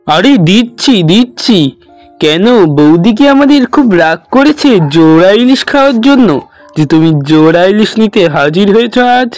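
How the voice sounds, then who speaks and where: A man's voice: high-pitched, expressive, moderate pace, no room sound, soft background music. A man voicing a teasing, chatty village fishmonger.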